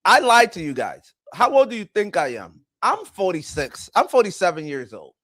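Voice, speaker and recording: A man's voice: sits high, huge pitch swings, moderate pace, fairly bright tone, dry recording, studio quality. A man, hosting a casual livestream, rambling and greeting his chat as he goes. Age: 40s. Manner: rambling, talks to chat, self-correcting. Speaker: livestream host.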